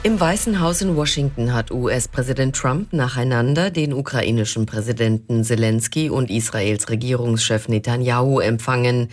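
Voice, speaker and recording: A man's voice: medium pitch, normal speed, few pauses, faint music behind. A man with a standard German accent reading scripted news as a radio presenter.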